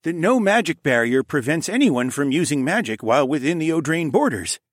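A man's voice: a little high, sing-song, brisk, no echo, clean studio sound, pitched above the performer's own voice. A man voicing a stern king and father who presses his questions in an audiobook. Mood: measured. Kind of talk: audiobook drama dialogue.

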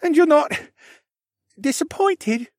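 A man's voice: very high-pitched, expressive, takes it slowly, a little mellow, dry recording, clean studio sound, voice pushed up, heavily acted. A man voicing an anxious, emotional teenage stable boy who stammers and blurts things out. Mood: unsure.

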